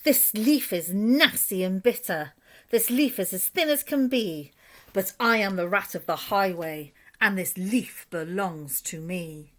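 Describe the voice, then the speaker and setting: A woman storyteller acts out a mean rat highwayman from a children's storybook. He is greedy and boastful, barking commands like a bandit who expects to be obeyed. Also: lively intonation, unhurried. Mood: domineering. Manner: loud commanding declamation. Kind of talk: children's storybook read aloud.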